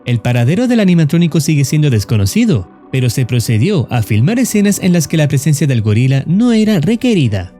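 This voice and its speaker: A man narrates a horror tale in a steady, solemn voice, leaning on ominous words. His tone is mellow and dark, and his pitch rises and falls in a lively way. Faint music plays under his voice-over. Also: fairly quick, few pauses. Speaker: YouTube horror channel narrator. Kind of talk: scripted narration of a horror story.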